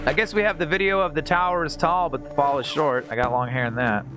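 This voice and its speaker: A man's voice: fairly high pitch, steady tone, fast talker. A man doing casual live game commentary and chatting with viewers in a jokey, exclamatory way, often repeating his phrases. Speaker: game streamer. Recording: loud game music behind the voice. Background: loud video game music.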